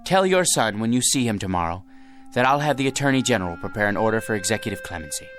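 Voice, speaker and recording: A man's voice: lowish voice, lively intonation, fast. An actor playing a meek middle-aged man who becomes president, in a radio drama with music beneath. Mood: solemn. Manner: gentle, fussy, talks to his dog.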